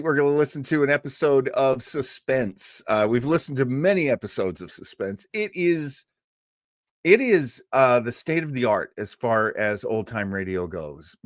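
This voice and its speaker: Male host for an old-time radio stream: chatty, digressive, playful, slow pace with plenty of melodic swing. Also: mid-range voice, a bit bright, dry recording, studio quality. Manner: rambling, jokey, digressive. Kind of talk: casual livestream chat and introduction.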